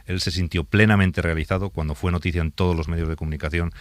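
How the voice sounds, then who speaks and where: Deep-voiced Spanish police inspector, hesitant. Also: male voice, steady tone, quick pace, dry recording. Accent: Spain. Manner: hesitant, many fillers and restarts. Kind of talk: interview answers.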